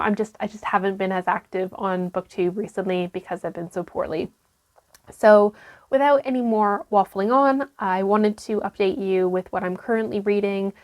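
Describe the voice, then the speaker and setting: A young woman chatting casually to camera about her reading, her voice still croaky after illness, with lots of ums and restarts. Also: medium pitch. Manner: rambling, many ums and restarts. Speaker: book vlogger.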